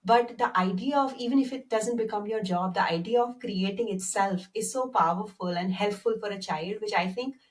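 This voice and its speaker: A woman's voice: medium pitch, normal speed, hardly any pauses. A woman host, chatting conversationally and thinking aloud in an interview. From India. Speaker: podcast host. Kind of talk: casual interview chat. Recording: voice distant from the mic.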